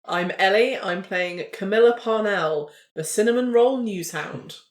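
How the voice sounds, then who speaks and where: A woman's voice: mid-range voice, measured pace. A woman talking casually as a player on a roleplay show. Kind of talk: casual table chat. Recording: roomy echo.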